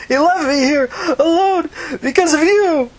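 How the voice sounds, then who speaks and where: A woman voicing an enraged, despairing runaway young woman in a story reading, bitter and hoarse. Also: sits high, unhurried, voice pushed up. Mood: enraged, anguished. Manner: shouting accusations, profane, bitter. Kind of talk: audiobook character acting.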